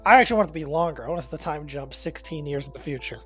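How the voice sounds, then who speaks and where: A man banters as a player on a casual game podcast, his pitch swinging in a lively, animated way. He jokes freely over faint music. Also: very fast. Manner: joking, bantering. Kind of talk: casual chat.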